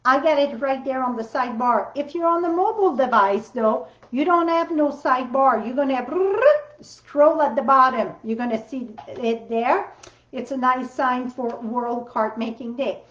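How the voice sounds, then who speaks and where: Brief: woman host with a French accent, high and unhurried, chatty livestream demo, roomy home craft-room sound. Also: a bit bright. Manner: chatty, rambling, loose non-native grammar. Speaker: card-making livestream host. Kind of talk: casual live demo chat. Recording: roomy, slightly dull sound.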